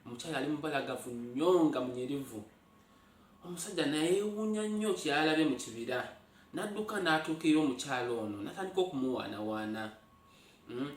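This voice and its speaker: A man from Uganda with a Ugandan accent and Luganda as his native language tells folktales in an animated way, speaking directly to his listeners. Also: fairly high pitch, fast talker. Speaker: storyteller, host. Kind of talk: oral folktale storytelling. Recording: echoey room, far from mic.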